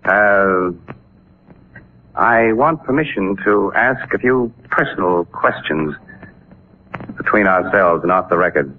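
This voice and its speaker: A sharp newspaper sleuth in an old radio drama, casual and clipped. His pitch swings widely as he probes, heard through a narrow, noisy vintage broadcast. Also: lively intonation, slow, pauses often. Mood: probing. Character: sharp, persistent newspaper photographer sleuth, adult man. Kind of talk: 1940s radio drama acting. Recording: muffled, noisy 1940s radio recording.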